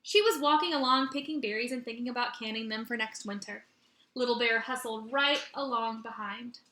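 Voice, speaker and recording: A woman teacher reading a children's story aloud in an expressive, animated way with dramatic emphasis. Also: on the high side, a little fast. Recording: roomy echo.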